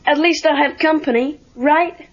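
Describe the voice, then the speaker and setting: An actor playing an eager, wisecracking American teenage boy in film dialogue, with an animated, sarcastic way of talking. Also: extremely high pitch, normal speed. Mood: curious. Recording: dull lo-fi cassette tape.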